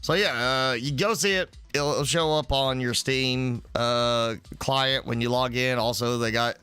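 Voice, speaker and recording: Guy with a cold live streaming, bright clear voice, keeps clearing his throat, faint music behind. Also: medium pitch, fairly even intonation, measured pace, no room sound. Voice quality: throat-clearing, slightly stuffy. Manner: rambling, filler-heavy explaining. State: congested from a head cold. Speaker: game streamer. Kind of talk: casual live stream chat.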